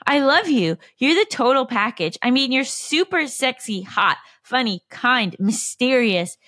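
Obsessively lovesick female texter, read by a woman: high, bright, dramatic, clingy, dry close sound. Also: animated, no echo. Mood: lovesick pleading. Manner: dramatic monologue with drawn-out, list-like delivery.

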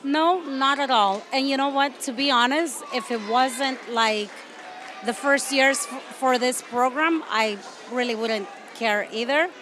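A middle-aged woman from El Salvador with a higher-pitched voice gives frank, casual interview answers. She is recorded in a noisy crowd with urban ambience around her. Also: measured pace, a bit bright. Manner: informal, frank. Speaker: parent being interviewed.

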